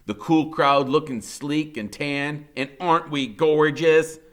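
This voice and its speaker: A man's voice: a little high, takes it slowly. A man narrating a first-person story as an audiobook reader, conversational, wry and dramatic in his delivery.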